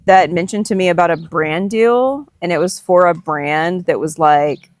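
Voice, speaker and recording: A woman's voice: fairly low pitch, normal speed, dry recording. A woman in casual, unscripted conversation outdoors as host of a family farm vlog. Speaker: host, parent chatting with their child. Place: outdoors on a farm, sitting and chatting.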